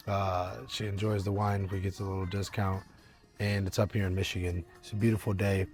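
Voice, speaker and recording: A young man with a husky, deep voice, talking earnestly to camera outdoors at a winery, rambling with many fillers and restarts as a business coach. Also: level tone, fairly quick, darkish tone. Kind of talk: casual motivational talk to camera. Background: faint eighties music.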